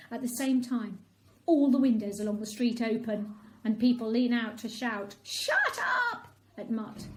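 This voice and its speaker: A woman reads a children's bedtime story with plenty of expression. Her voice sits fairly high and moves in very animated swoops, shaping the narration for young listeners. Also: a little hiss or hum, low-quality recording.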